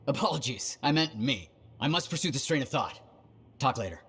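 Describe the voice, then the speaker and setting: A man's voice: medium pitch, animated, a little fast, fairly bright tone, breathy edge. An actor playing an earnest, eccentric male scholar in a video game, wordy and precise with a self-deprecating touch. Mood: absorbed. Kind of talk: video game cutscene acting.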